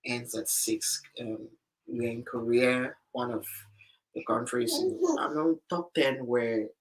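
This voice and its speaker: A man chatting casually about being a new father, hesitant and halting, often repeating words. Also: medium pitch, low-quality recording. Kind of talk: casual chat. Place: home in Korea.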